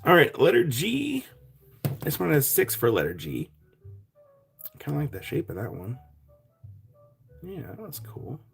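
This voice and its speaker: Raspy man running a live rock sale. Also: fairly high pitch, huge pitch swings, measured pace, long pauses, faint music behind. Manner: chatty, thanks buyers by name. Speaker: live stream rock seller. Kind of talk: casual live sale chat.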